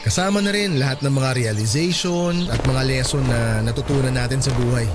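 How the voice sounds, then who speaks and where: A man playing a sincere young male friend who explains a plan calmly but gets a bit defensive when teased. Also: medium pitch, a little fast, over music, natural voice.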